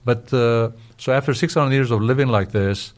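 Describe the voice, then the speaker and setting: An older man from Syria explaining history as an interview guest, haltingly, searching for words and repeating himself. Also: mid-range voice.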